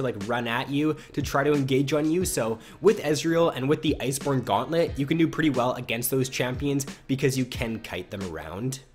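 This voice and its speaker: A man with a stuffy nose from a cold, hosting a casual game strategy video and explaining his picks in a relaxed way. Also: medium pitch. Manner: relaxed, explanatory, repeats phrases. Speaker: gaming video host.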